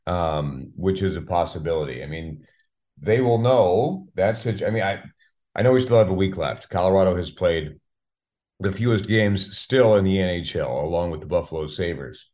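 A man's voice: deep voice, expressive, moderate pace, a little mellow. A man, a radio play-by-play announcer, giving wordy sports analysis as an interview guest, often repeating words as he searches for the next phrase. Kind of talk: sports interview chat about hockey.

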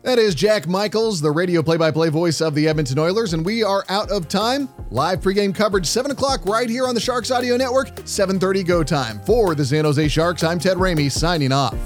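A man's voice: high-pitched, a little fast, few pauses, soft background music. A man hosting a sports radio interview show. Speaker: podcast host.

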